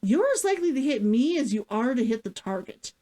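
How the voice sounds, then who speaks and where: Storybook role: gruff, caring male traveler, matter-of-fact, swinging intonation read by a woman. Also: a little high, sing-song, brisk, warm tone. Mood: gruff, blunt. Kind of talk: fiction read-aloud character dialogue.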